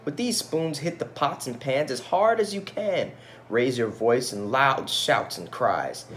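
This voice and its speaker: A man voicing a solemn village wise man in a picture-book folktale, giving measured instructions. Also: mid-range voice, expressive, a bit bright, a little hiss or hum. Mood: solemn, instructing.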